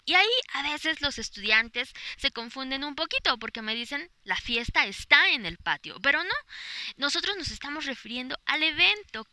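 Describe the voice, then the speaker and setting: A woman's voice: sits high, very animated, moderate pace, sharp tone. A woman with a Mexican accent, a Spanish teacher giving a podcast lesson outdoors in a park, explaining patiently with many fillers and examples.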